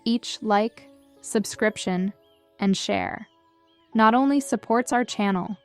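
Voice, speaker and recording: A woman narrating a scripted guided meditation in a soothing, measured and gentle manner. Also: mid-range voice, smooth. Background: background music.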